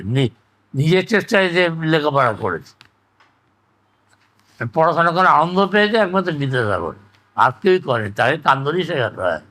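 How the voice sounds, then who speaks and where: A man's voice: on the high side, fairly bright tone. An older man, reminiscing and giving opinions in an emphatic, conversational interview style with rhetorical questions. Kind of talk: conversational oral-history reminiscence.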